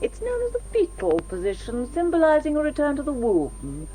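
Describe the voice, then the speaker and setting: A brisk British woman doctor in a radio play. She is detached and matter-of-fact, explaining things in articulate clinical terms. Her voice sits fairly high and swings widely in pitch. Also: wildly swooping pitch, mellow, low-quality recording. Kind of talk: British radio drama acting.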